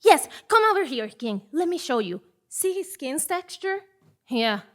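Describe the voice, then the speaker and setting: A woman's voice: sits high, sing-song, unhurried. A woman playing a scheming, insistent journalist who stammers theatrically in a comedic stage play. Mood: flustered, insistent. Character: flustered, scheming female journalist, fast-fibbing and insistent. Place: theatre stage before live audience.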